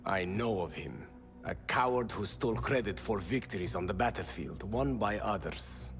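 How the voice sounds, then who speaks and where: An actor playing a hard, ruthless and proud rebel leader in a video game, curt and commanding. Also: male voice, lowish voice, expressive, normal speed, music in the background, natural voice. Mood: scornful. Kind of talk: video game cutscene acting.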